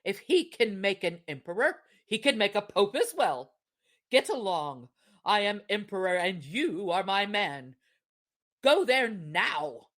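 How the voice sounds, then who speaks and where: A woman's voice: mid-range voice, sing-song, measured pace, a bit bright. A woman voicing a domineering, greedy fisherman's wife in a storybook, commanding, impatient and haughty.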